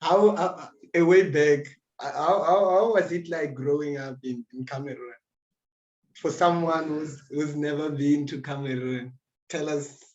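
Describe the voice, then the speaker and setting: A man's voice: a little high, fairly even intonation, measured pace, reverberant, lo-fi sound. A man hosting an interview, asking questions in an encouraging way with short reactions. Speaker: host. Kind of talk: casual interview chat.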